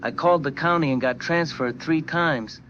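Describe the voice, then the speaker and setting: A man's voice: on the high side, moderate pace, a bit bright, lo-fi sound, a little hiss or hum, close to an everyday voice. A man playing an indignant, blunt homeowner phoning in a complaint to a radio show in an audio drama. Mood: exasperated. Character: exasperated, talkative male homeowner calling radio show. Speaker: radio caller. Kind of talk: scripted phone-in complaint.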